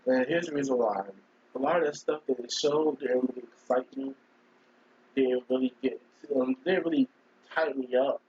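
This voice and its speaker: A man with a cold, hoarse and scratchy, chatting casually on a live stream and rambling as he keeps clearing his throat. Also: medium pitch, fairly even intonation, normal speed, darkish tone, muffled recording. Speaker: gaming streamer.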